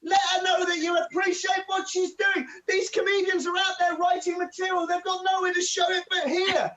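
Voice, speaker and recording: A high-pitched man hosting an online comedy night, calling out to viewers with loud, pumped-up energy. He sounds set back from the microphone. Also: flat delivery, normal speed, recorded from a distance, rough recording. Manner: loud, hyped-up audience urging. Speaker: comedy show host. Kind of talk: online open-mic hosting.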